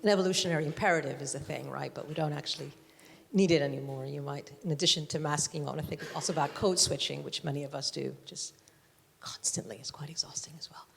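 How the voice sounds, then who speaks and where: A woman's voice: low voice, fast, creaky, slight background noise. A woman in the audience making an articulate, chatty comment with frequent asides. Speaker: audience member. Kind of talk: commenting from the floor. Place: large hall. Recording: hall reverb.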